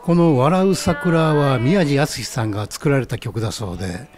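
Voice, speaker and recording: A man's voice: mid-range voice, moderate pace, music in the background, natural voice. A man playing a genial ramen stall owner in a scripted radio skit, explaining things politely. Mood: friendly, informative. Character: genial male ramen stall owner who knows his music.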